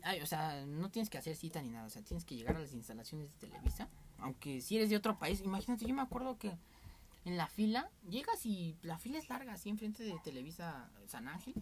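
Mexican podcast host, young man, candid rambling storyteller, faint room noise. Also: high-pitched. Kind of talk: casual podcast chat.

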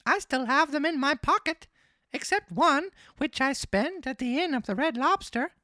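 Woman playing naive marionette boy, very high pitch, excitable, earnest, childlike, storybook character. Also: moderate pace, dry recording, studio quality. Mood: innocent.

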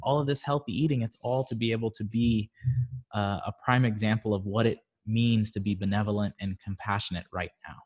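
A man from Barbados, a guest on a casual chat show, telling stories in a relaxed way and laughing often, speaking into his own microphone. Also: lowish voice, brisk.

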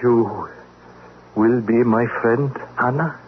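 A man's voice: medium pitch, lightly acted. Man playing a haunted refugee painter, tinny radio sound. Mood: intense pleading. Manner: slow, poetic, pleading, formal foreign phrasing. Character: weary, haunted middle-aged male refugee painter, former political prisoner, earnest. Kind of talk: radio drama acting. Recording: tinny band-limited radio, clear noise.

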